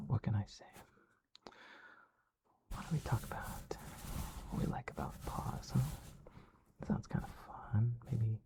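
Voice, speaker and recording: A man whispers close and low, his voice breaking into a dry, gravelly fry. He coaxes softly and soothingly, like talking to a pet. The delivery stays hushed throughout. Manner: soft, coaxing, soothing pet-talk. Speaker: ASMR creator with a dog persona. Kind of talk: whispered ASMR.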